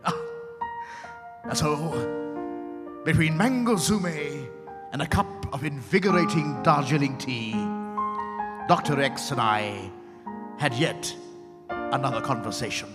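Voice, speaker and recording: A man's voice: fairly high pitch, slow, pauses often. An actor playing a chatty male dinner guest who savours his tale in theatrical first-person storytelling. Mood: savouring, reminiscent. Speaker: narrating character in a dramatized reading. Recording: echoey hall with long reverb. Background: piano music.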